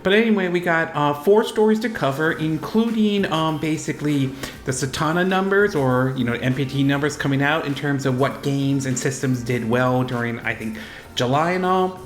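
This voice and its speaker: A man with a cold giving unscripted gaming news commentary as a video host, rambling and halting, often correcting himself. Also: fairly high pitch, roomy sound. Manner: rambling, halting, self-correcting delivery with repeated verbal tags. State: fighting off a cold.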